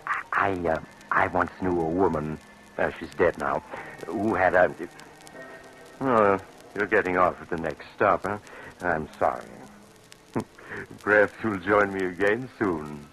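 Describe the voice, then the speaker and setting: Low, grave, sinister gentleman. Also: soft background music. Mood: slyly sinister. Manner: measured, ominous, authoritative. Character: grave, sinister, composed gentleman storyteller and doctor. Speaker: storyteller host and actor. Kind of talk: 1940s radio acting. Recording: faintly noisy old radio recording.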